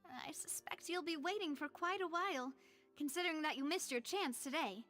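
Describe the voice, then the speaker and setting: A woman's voice: sits high, moderate pace, fairly bright tone. A woman playing a confident young female gang boss in an anime video game, poised and articulate with a theatrical, playful streak. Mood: polite. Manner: articulate, eloquent, dramatic. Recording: faint music behind the voice.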